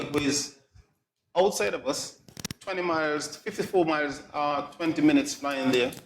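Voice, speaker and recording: A middle-aged man, explaining things as an interview guest in a measured, phrase-by-phrase way. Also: fairly high pitch. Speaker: air traffic controller, interview guest. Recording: echoey room, light background noise.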